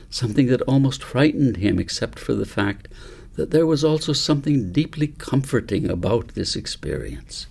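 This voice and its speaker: A man narrating a novel as its author, in measured, deliberate phrases with pauses. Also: on the low side, gravelly, darkish tone. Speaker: author reading his novel. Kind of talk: scripted audiobook narration.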